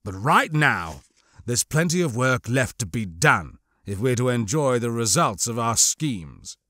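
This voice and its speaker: A man playing a cold, scheming crime lord in an audiobook, mocking and disdainful, with menacingly measured delivery. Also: medium pitch, big pitch swings, sharp tone, no room sound, clean studio sound, voice pushed down. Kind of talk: audiobook character acting.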